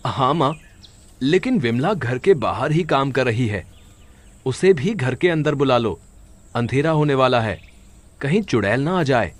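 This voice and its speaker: A man's voice: medium pitch, animated, measured pace, pauses often. A man playing a caring, anxious young village husband in a cartoon horror story, urging others to safety. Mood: worried. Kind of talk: Hindi animated horror story acting.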